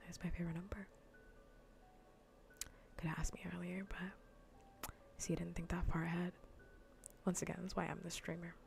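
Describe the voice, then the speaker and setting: A woman streamer chatting playfully and casually in a soft, breathy, often near-whispered ASMR style, close to the microphone. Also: lowish voice, level tone, normal speed, long pauses, faint music behind. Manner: playful, rambling, half-whispered casual talk. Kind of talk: soft-spoken ASMR gaming livestream chat.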